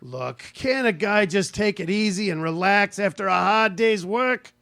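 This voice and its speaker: Male actor as wisecracking grumpy husband, mid-century radio play, high male pitch, very animated intonation, sarcastic. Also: unhurried, vocal fry, full character voice. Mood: sardonic, irritated. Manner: wisecracking, sardonic, colloquial. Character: grumbling, sarcastic working-class husband in a bickering couple. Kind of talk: mid-century radio comedy-drama acting.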